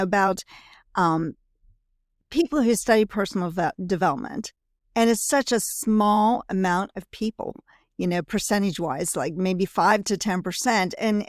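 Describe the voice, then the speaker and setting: A woman's voice: mid-range voice, dry recording, studio quality. A woman who works as a coach, telling personal stories as a podcast guest, with frequent "you know" fillers. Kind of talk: conversational interview storytelling.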